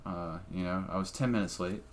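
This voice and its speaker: Brief: comedian guest, blunt and opinionated, swears freely, lowish voice. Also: male voice, a little fast, slight background noise. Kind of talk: casual podcast chat.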